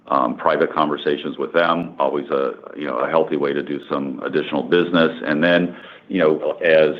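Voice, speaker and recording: A man speaking as a company executive, answering questions on an earnings call in halting, wordy corporate phrasing over conference call audio. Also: rumbling low. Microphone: conference call line. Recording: dull, band-limited conference call audio.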